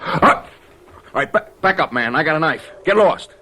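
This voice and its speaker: A man's voice: a little high, lively intonation, moderate pace, pushed and bright. A man playing a cocky, crude young tough in an old-time radio drama, brash and slangy. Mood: alarmed.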